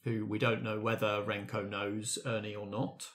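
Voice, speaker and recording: A man's voice: fairly low pitch, level tone, normal speed, a bit bright. A man acting as game master, guiding players through a casual tabletop roleplaying discussion with questions and suggestions.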